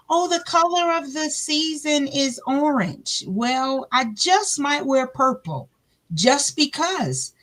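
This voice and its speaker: A woman with a big, loud voice talks through her faith story as a guest. She is a little higher than most women and speaks at an unhurried pace. She jumps into her own dialogue and acts out both sides. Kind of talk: faith testimony chat.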